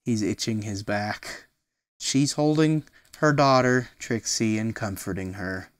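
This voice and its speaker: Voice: medium pitch, slow. A storyteller reading a picture book aloud expressively, with casual asides that explain things simply, as to a small child.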